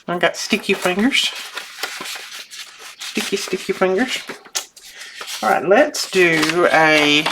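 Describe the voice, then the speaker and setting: An older woman with a notably low voice chats in a homey, rambling way as she crafts at her desk, often breaking off to chuckle. Her voice sounds a little thickened from sinus trouble. The recording has clear background noise and small clicks. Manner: chatty, homey, self-interrupting, chuckles often. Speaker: craft channel host. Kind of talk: casual crafting chat while working.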